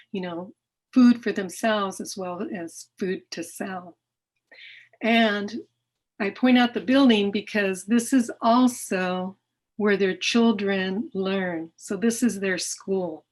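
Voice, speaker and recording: A middle-aged woman giving an earnest presentation to a club about her nonprofit's work, with many fillers and restarts. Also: medium pitch. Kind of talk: presentation talk with slides.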